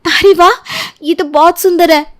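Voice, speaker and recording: A woman voicing a girlish young bride who is emotional and easily jealous, in an animated story. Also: very high-pitched, a little slow, pitched above the performer's own voice. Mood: overjoyed.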